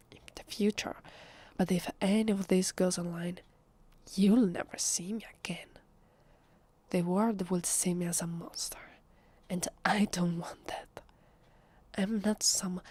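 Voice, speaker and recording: A woman with an Italian accent playing a nervous, fragile, defensive female vampire in an ASMR roleplay, speaking softly and haltingly in a breathy, often whispery voice. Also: medium pitch. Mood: hurt, wary. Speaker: voice actress. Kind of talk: ASMR roleplay, soft acted monologue.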